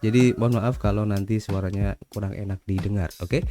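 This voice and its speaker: An Indonesian-accented man runs through crypto news at a brisk clip, his voice a little blocked and nasal from a cold. His tone is soft and mellow, and he keeps tagging phrases with "ya" and "guys" in a chatty way. A faint hiss sits under the recording. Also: fairly low pitch, steady tone. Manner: chatty, adds "ya" and "guys" to most lines. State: stuffy nose from a cold. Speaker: crypto news channel host. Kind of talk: casual crypto news roundup.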